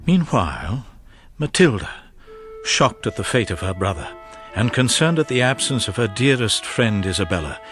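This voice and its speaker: A man narrating a gothic audio drama in a husky voice with dramatic scripted delivery. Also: on the low side, sing-song, normal speed, over music.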